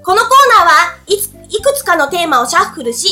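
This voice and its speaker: A woman's voice: extremely high pitch, sing-song, quick pace, sharp tone. A woman hosting a casual radio talk show, switching between polite announcing and informal chat. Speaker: radio host. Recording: light music bed under the voice. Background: background music.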